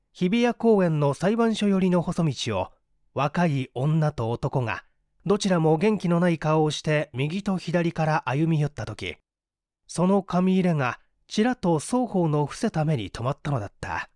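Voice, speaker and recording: A man narrating a mystery story as a scripted dramatic reading, measured and with deliberate pauses. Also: fairly high pitch, darkish tone, dry recording, clean studio sound. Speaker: audiobook narrator, stage performer.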